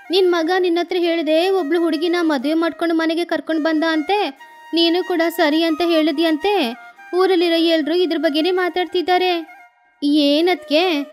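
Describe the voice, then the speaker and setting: A woman voicing a nosy, mocking village neighbour woman with a taunting manner in a storybook tale. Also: extremely high pitch. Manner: taunting, insinuating.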